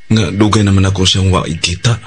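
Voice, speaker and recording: A man's voice: sits low, fairly even intonation, a little fast, very noisy, natural voice. A man playing a genial family friend who also narrates in a Filipino Bisaya radio drama. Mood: reflective narration.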